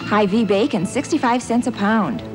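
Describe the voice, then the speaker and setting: A woman's voice: fairly high pitch, normal speed. A woman announcer reading a scripted retail commercial in an itemised, instructional style. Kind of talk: scripted grocery advertisement voice-over. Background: music.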